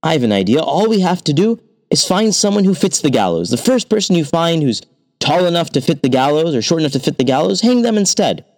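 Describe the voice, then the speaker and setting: Brief: greedy storybook king, lifted high and lively, put on by a male storyteller. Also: a little fast. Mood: scheming. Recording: long hall reverb.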